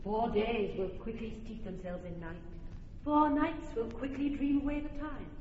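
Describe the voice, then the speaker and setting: A woman's voice: a little high, normal speed, a little mellow, highs cut off, slight background noise, lightly acted. A woman playing a defiant young noblewoman. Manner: earnest, firm pleading. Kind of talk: old radio verse drama. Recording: distant reverberant old radio recording.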